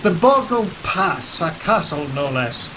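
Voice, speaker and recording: A wry, higher-voiced sea captain. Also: male voice, very animated, unhurried. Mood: wry, musing. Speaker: actor in an audio drama. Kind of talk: gothic horror radio drama acting. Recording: loud rain noise behind.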